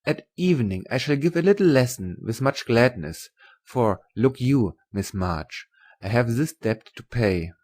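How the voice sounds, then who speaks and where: A man playing a kindly middle-aged German professor in a dramatised audiobook, with a German accent and hearty, deliberate broken English. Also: medium pitch, expressive, darkish tone. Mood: kindly, teasing. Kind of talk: dramatised literary audiobook dialogue.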